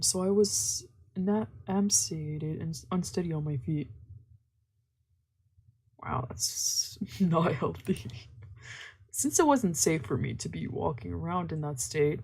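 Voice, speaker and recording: A woman's voice: low-pitched, darkish tone, husky. A woman streamer reading a visual novel aloud as narration, with casual reactions of her own. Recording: faint background music.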